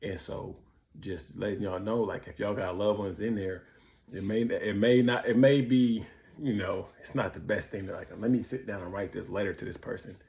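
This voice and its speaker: Low, creaky-voiced American man talking candidly. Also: fairly even intonation, normal speed, darkish tone. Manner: slangy, repetitive, searching for words. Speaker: vlogger sharing personal experience of prison. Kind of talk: casual, candid monologue.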